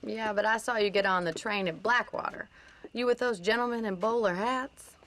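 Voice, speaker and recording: A woman's voice: mid-range voice, moderate pace, a bit bright, lightly acted. An actress playing a spirited young American ranch woman in a video game, forthright, wry and playful. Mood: teasing. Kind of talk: video game cutscene acting.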